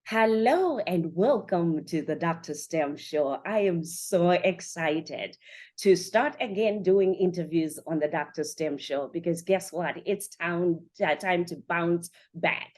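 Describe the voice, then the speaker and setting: Enthusiastic lower-pitched woman hosting a show. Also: slow, a little mellow. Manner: enthusiastic, chatty, addresses listeners directly. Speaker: show host and conference organiser. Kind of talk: upbeat podcast interview hosting.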